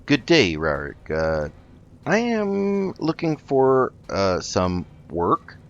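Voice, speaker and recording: A man improvising in character while playing a video game, speaking politely and haltingly. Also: medium pitch, wildly swooping pitch. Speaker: let's play host. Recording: dull lo-fi mic with noticeable noise.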